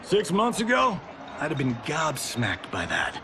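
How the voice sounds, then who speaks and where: An actor playing a cocky, wisecracking American army officer from the Second World War, brash and slangy in a video game. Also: male voice, a little high, huge pitch swings, unhurried, noisy background. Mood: brash, jaunty. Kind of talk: cinematic video game acting. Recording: game cutscene with music behind.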